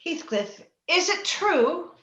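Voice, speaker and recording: In a roomy, slightly dull recording, a woman plays a jealous, anguished young married lady of an old-time radio drama. The voice is high and the delivery haughty and melodramatic. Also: sing-song, a bit bright, full character voice. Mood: pleading, distraught. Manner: melodramatic, halting when upset. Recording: roomy, slightly dull remote-call sound.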